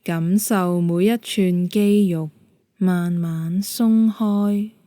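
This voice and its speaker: A woman with a Hong Kong Cantonese accent leading a guided meditation in a calm, gentle, measured manner. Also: on the low side, no echo, clean studio sound. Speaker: yoga nidra meditation guide.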